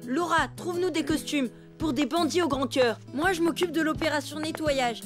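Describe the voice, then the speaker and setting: A woman's voice: high voice, moderate pace, lightly acted. A woman voicing a bossy, boastful young elephant boy in a children's cartoon, with a theatrical, grandiose way of talking. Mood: confident, bossy. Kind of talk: animated children's cartoon acting. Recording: music behind the voice.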